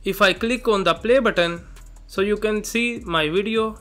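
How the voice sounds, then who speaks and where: High-pitched bright male tutorial presenter. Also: moderate pace. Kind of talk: step-by-step tutorial narration. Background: background music.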